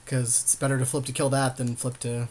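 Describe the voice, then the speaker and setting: A man's voice: mid-range voice, little pitch movement, brisk, a little mellow, a little hiss or hum. A man with a wry, joking, slangy way of talking, co-hosting casual gameplay commentary alongside a friend. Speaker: podcast co-host playing an online card game.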